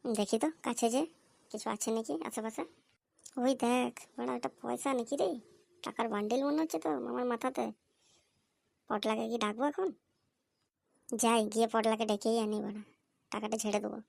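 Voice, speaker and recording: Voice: medium pitch, steady tone, fairly quick, creaky, pauses often, a little mellow, dry recording, lightly acted. A performer voicing a crude, cocky young male slacker with slangy, foul-mouthed banter in a comedy cartoon. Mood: scheming. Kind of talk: amateur comic cartoon dubbing. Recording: slightly noisy amateur cartoon dub.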